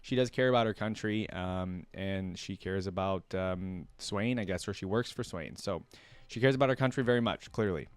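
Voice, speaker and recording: A man's voice: low voice, normal speed, smooth, dry recording, soft background music. A man hosting a reaction video, reading a story aloud and adding casual, conversational fan commentary.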